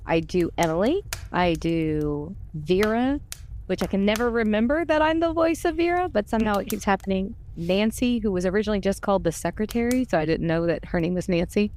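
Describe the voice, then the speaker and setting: A woman's voice: medium pitch, animated, a little fast, a little mellow, slight background noise. A woman voice actor introducing herself in a casual, playful, rambling chat.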